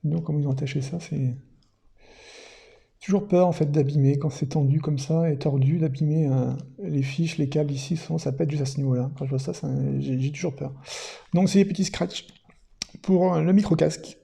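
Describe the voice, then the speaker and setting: A man with a slightly high-set voice that is nonetheless very mellow and dark in tone. He talks through an unboxing casually, feeling his way as he goes, with a creaky edge to the words. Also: fairly even intonation, moderate pace. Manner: hesitant, thinking aloud. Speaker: product reviewer presenting an unboxing. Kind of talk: casual unscripted unboxing commentary.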